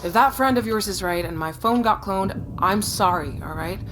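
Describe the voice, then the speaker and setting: A woman playing a brisk, sceptical executive sister in a naturalistic audio drama, speaking with firm, controlled dismissiveness. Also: medium pitch, background noise, natural voice. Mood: curt, weary.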